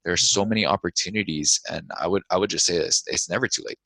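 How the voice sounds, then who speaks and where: A deep-voiced man with a bright, forceful edge talks as an interview guest. He sounds modest and earnest and often restarts his sentences. The sound is dry and close. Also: fast, gravelly, dry recording, rough recording. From Hawaii. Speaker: guest entrepreneur. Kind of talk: casual interview talk.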